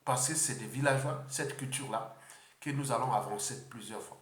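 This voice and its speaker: Male political speaker from Congo, mid pitch, unscripted and halting, reverberant room. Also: a bit bright. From Republic of the Congo. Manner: halting, repeats words, many fillers.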